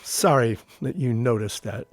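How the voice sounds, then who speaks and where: A man's voice: medium pitch, measured pace, no room sound, lightly acted. Casting actor: male ex-prisoner of war for audio drama, uneasy, curious, everyday middle register, conversational and unpolished. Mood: troubled, confessional. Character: grown war veteran, curious, uneasy, haunted by captivity.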